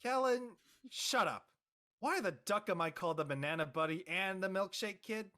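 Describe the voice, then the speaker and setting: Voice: medium pitch, lively intonation, normal speed, bright, forceful tone. An actor voicing an embarrassed, exasperated teenage boy in a comedy drama, whiny and indignant. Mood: annoyed. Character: embarrassed, exasperated teenage boy who became a viral meme. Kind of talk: comedic scripted audio drama dialogue.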